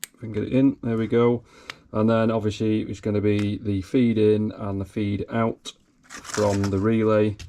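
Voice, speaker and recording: Bloke mumbling thru car wiring, gravelly low mellow voice, bit croaky. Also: male voice, level tone, normal speed, slight background noise. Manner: talks himself through each step, thinking aloud. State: slightly croaky. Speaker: car hobbyist. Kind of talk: casual workshop commentary. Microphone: camera mic close to his hands.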